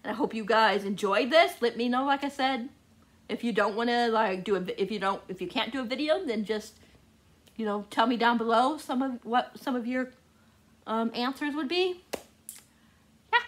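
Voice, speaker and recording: A woman with a fairly high voice talks in a casual, chatty vlog style. She gushes as she goes, doubling up words for emphasis and speaking straight to her viewers. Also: normal speed. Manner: gushing, repeats words for emphasis. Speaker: book vlogger.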